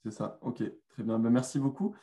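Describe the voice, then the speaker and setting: A man's voice: mid-range voice, normal speed, mellow. A man hosting and moderating a webinar over a video call, speaking hesitantly with many fillers. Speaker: webinar host.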